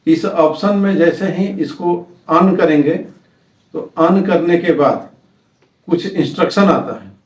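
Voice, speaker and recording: An Indian man with a slightly high voice walks through instructions step by step. His phrasing is halting and repetitive. The sound is strongly echoey, picked up a little way from the microphone. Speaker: tech tutorial host. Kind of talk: step-by-step spoken tutorial. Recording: echoey room, distant microphone.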